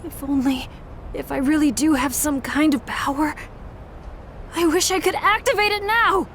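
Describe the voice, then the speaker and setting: An actress playing an anxious, impassioned young heroine in a video game, with an urgent, pleading delivery. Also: female voice, high voice, moderate pace, fairly bright tone, a little hiss or hum. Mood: desperate. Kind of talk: dramatic video game cutscene acting.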